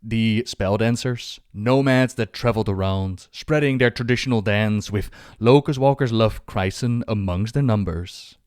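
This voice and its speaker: A man tells fantasy game lore as a scripted narration, phrasing it like a dramatic storyteller. His voice sits a little low and is recorded very dry. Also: a little slow, few pauses, darkish tone, clean tone, no room sound.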